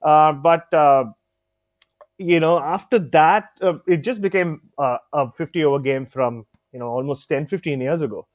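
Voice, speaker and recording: A man's voice: a little high, moderate pace, no echo. A man on a podcast as a guest cricket writer, talking casually, joking and full of fillers. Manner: chatty, joking, many fillers.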